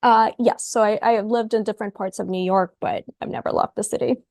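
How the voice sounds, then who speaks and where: Creaky-voiced woman, podcast guest. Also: mid-range voice, steady tone, fairly quick, darkish tone, no room sound, studio quality. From Ukraine. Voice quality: thoughtful, repeats words as she starts sentences. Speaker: guest psychologist. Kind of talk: podcast interview.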